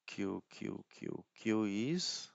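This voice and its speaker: Low-voiced man, thinking aloud. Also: expressive, very slow, gravelly, no room sound, dull audio. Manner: thinks aloud, muttering and hesitant, often repeating words. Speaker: tutorial presenter. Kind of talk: informal screen-share tech walkthrough.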